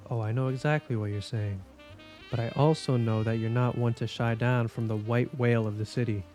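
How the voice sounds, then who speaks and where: A man's voice: mid-range voice, steady tone, fairly quick, a little mellow, soft background music, natural voice. A man playing a weary, skeptical police investigator in an audio drama, speaking in a dry, blunt, impatient way. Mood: probing, dry.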